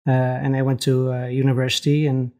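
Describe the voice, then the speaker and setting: Dutch-accented man, flat voice. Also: mid-range voice. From Netherlands. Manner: hesitant, many pauses and restarts. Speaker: podcast guest. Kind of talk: casual interview chat.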